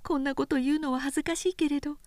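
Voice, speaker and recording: A woman voicing a devoted young woman who pleads quickly and urgently in a high voice. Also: fairly even intonation, darkish tone, no echo, lightly acted. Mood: pleading. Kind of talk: literary reading.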